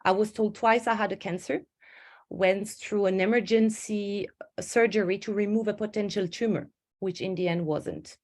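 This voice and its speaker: A woman's voice: medium pitch, fairly even intonation, normal speed, darkish tone. Woman, stress coach, webinar talk, earnest and personal, mid-range voice, restarts her sentences, video call mic. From Switzerland. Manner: earnest and personal, with frequent self-corrections. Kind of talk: online webinar presentation.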